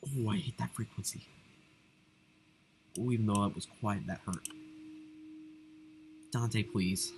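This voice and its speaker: A man's voice: lowish voice, slow pace, pauses often, darkish tone. A man giving a casual, live running reaction as the host of a reaction video, with noise behind him.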